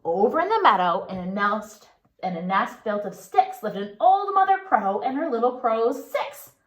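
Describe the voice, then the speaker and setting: A woman reading a picture book aloud for young children in an expressive, singsong way, pausing to ask questions like a pre-K teacher. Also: a little high, poor audio.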